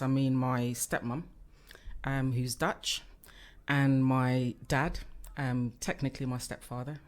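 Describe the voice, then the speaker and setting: A woman from East Africa sits in as a podcast guest, talking openly and easily. She laughs readily and fills her pauses with little fillers as she tells her story. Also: extremely low pitch, a little mellow. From born in Uganda, raised in Kenya and Tanzania, UK since age eleven. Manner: chatty and open, laughs easily, many fillers. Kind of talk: conversational podcast interview.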